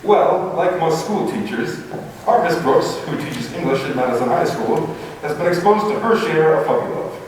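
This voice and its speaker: A man's voice: on the high side, animated, moderate pace. A man speaking as a formal, showmanlike old-time radio announcer to a live audience. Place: live stage before an audience. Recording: echoey hall, distant mic, faint noise.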